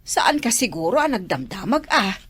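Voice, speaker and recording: A woman's voice: medium pitch, big pitch swings, moderate pace, fairly bright tone, husky. A woman playing a nosy, chatty, teasing neighbour in an Ilocano radio drama. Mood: gossipy, sly.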